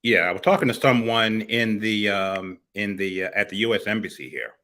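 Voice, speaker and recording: A middle-aged man from Miami co-hosting a casual morning talk show, speaking haltingly with many fillers and restarts. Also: on the low side, bright, forceful tone. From United States, Miami. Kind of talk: casual panel discussion.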